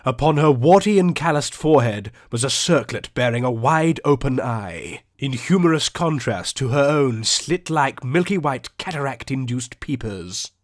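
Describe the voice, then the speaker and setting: A man's voice: medium pitch, expressive. Theatrical male audiobook narrator. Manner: theatrical, ornate, deliberate delivery. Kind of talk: scripted fantasy story narration.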